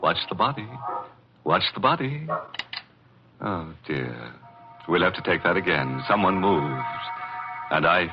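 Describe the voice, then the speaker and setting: Macabre, gleeful 1940s radio horror host. Low register, sardonic drawl, muffled old broadcast with music. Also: male voice, sing-song, full character voice. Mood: ghoulish mirth. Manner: sardonic, drawn-out, theatrical delivery. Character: ghoulish, gleeful, mock-sinister male host of a horror show. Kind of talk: 1940s radio horror hosting with ghoulish puns.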